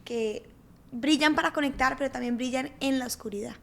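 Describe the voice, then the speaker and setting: A young Colombian woman with a Colombian accent and a high, light voice talks as a podcast guest. She speaks in an emotive, heartfelt way, lingering on what moves her. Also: fairly quick, gravelly. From Colombia, Bogotá and Cali. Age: young adult. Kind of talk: casual interview conversation.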